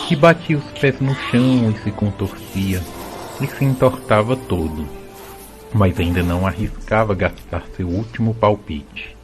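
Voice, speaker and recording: Male narrator, Brazilian accent, lowish pitch, scripted storybook reading, audio drama, faint music bed.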